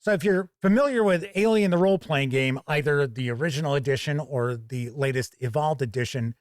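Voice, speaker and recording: Male review host, slightly high voice, folksy and measured, emphatic phrasing, close dry studio sound. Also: dry recording. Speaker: host and reviewer of a tabletop gaming channel. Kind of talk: conversational product review to camera.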